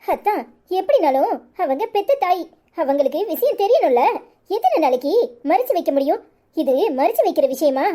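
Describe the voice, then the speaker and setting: Lady doing young village woman, really high voice, sympathetic sensible advice, cartoon drama. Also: sing-song, normal speed, warm tone. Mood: advising. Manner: advising, sympathetic. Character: concerned, sensible young village woman advising her relative.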